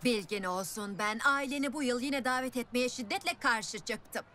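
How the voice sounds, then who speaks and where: A woman's voice: fairly high pitch, normal speed, bright, forceful tone. A woman voicing a stern, haughty older aunt in a cartoon dub.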